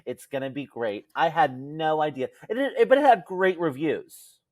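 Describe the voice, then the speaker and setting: A man's voice: fairly high pitch, lively intonation, normal speed, darkish tone, no room sound, studio quality. A man co-hosting a podcast, telling stories in an informal, conversational way. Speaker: podcast co-host. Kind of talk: casual podcast chat.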